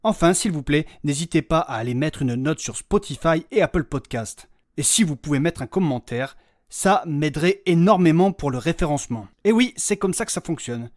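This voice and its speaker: A man's voice: on the high side, lively intonation, moderate pace. A man giving scripted narration and announcements as the narrator of an audio fiction series. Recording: music bed under the voice.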